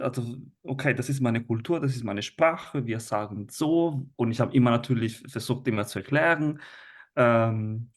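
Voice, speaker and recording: A man from Italy in his thirties with an Italian accent, a teacher talking as a guest over a video call, hesitant with many fillers and restarts. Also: mid-range voice. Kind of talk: conversational interview.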